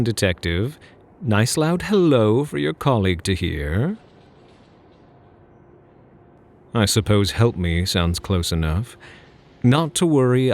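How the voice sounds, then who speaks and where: Casting: male actor for playful, smug gentleman thief, ASMR roleplay; gravelly, very animated delivery, teasing, flirty, mock-courteous. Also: fairly low pitch. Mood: taunting, mock-polite. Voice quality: husky, gravelly. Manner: teasing, mock-polite, theatrical.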